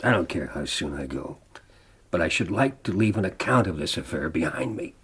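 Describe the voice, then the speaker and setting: An actor playing a gravely ill, defiant older man telling his life story in a grave, deliberate, dramatic way for radio drama. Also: low-pitched, animated, fairly bright tone, exaggerated voice. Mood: grave, intense.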